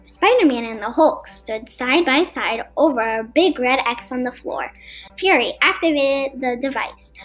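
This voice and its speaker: A woman's voice: high voice, unhurried. A woman reading a scripted children's superhero-style story aloud as a narrator. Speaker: storybook reader for a podcast. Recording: music behind the voice. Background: background music.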